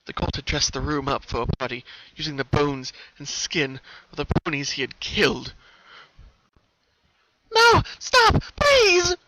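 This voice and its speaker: A man's voice: medium pitch, flat delivery, normal speed, few pauses, sharp tone, slight background noise. A man giving a dramatic scripted narration as a fan fiction reader. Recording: dull, muffled home recording.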